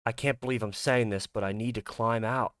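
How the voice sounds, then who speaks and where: A man's voice: mid-range voice, fairly even intonation, brisk, a bit bright, dry recording, close to an everyday voice. Horror visual novel lead: man acting a frightened, self-interested guy, dry close sound. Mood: anxious, cold. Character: panicked, self-serving man in a horror story. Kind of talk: visual novel character reading.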